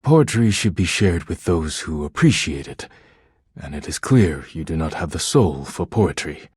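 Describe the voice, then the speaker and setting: A man playing a proud, thin-skinned poetic living dish in a video game, speaking in a formal, deliberate, grave manner. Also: extremely low pitch, expressive, a little mellow, breathy edge, no echo, clean studio sound, full character voice. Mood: wounded, cold. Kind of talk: video game character acting.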